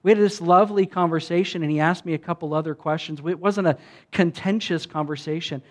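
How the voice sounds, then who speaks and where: A man's voice: a little high, fairly even intonation, a little fast. A man from the United States, a pastor, telling a story in a sermon and acting out the lines of the people in it. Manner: conversational, acts out quoted dialogue.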